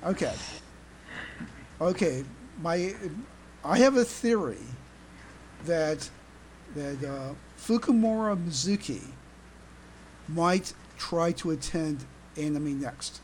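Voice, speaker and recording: Halting woman podcaster over faint noise. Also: low voice, lively intonation, a little mellow, poor audio. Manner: halting, repeats words. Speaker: fan podcast co-host. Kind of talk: casual chat.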